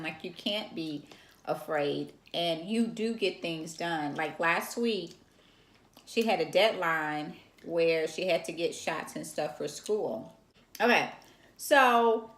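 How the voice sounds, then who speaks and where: A woman's voice: on the low side, a bit bright. A middle-aged woman co-hosting a casual chat with her daughter, teasing and laughing often. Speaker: co-host, mother of co-host. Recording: echoey room.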